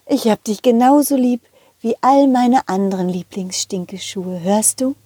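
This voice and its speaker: A woman's voice: fairly high pitch, steady tone, a little slow, dark tone, husky, dry recording, studio quality. A woman voicing a motherly, loving centipede lady in a children's audio play, tender, coaxing and patient. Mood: affectionate.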